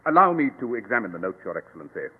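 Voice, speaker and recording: An actor playing a brisk, commanding male detective, grave and authoritative, in an old-time radio drama. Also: fairly low pitch, very animated, breathy edge. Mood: urgent, commanding. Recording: muffled, hissy old radio, reverberant.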